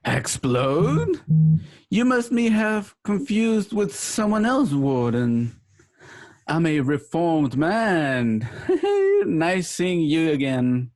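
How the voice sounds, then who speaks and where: A man's voice: fairly high pitch, big pitch swings, drawn-out words, darkish tone, exaggerated voice. A man voicing a gleeful, scheming criminal clown with a sly, giggling manner in a home-recorded radio play. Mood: mocking. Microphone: home microphone.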